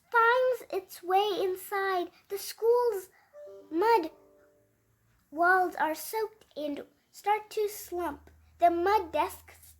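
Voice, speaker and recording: A female reader with a very high, childlike pitch tells a children's story aloud. She goes extremely slowly, word by word, and stresses key words expressively. Now and then she trips slightly on the text. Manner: expressive, emphatic, word-by-word reading with occasional stumbles.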